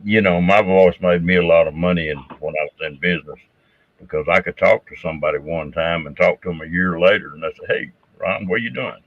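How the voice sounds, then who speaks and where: Deep-voiced man with a Southern drawl. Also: brisk, no room sound. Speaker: host. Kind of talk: casual chat. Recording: thin phone-like line, dry.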